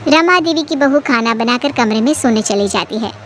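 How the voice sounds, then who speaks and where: A woman reading scripted narration of a moral story in an expressive manner. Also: on the high side, moderate pace, music in the background.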